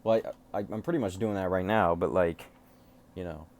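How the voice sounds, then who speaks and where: A man with a low voice talks in a casual, unscripted way, like a collector showing items one by one. He rambles offhandedly and drops swear words freely. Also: brisk, darkish tone. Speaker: music collector showing off cassette tapes.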